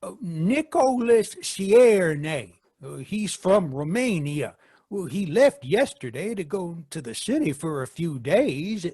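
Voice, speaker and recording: A man acts out an excitable farm husband in a radio play. His husky voice leaps dramatically up and down. He teases and bristles at an unhurried pace, recorded dry. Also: sits high, no echo, full character voice. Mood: excitable, teasing. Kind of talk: old-time radio drama acting.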